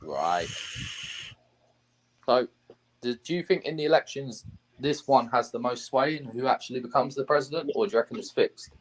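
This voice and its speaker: A man's voice: mid-range voice, animated, moderate pace, long pauses, poor audio. A man from England hosting a live stream, asking questions in a casual, curious chat.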